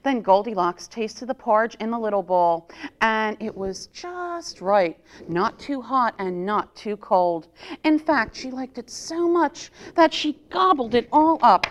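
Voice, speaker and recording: A woman reading a children's story aloud as a storytime reader, in an expressive, deliberate manner. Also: on the high side, a little hiss or hum. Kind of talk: expressive picture-book read-aloud for children.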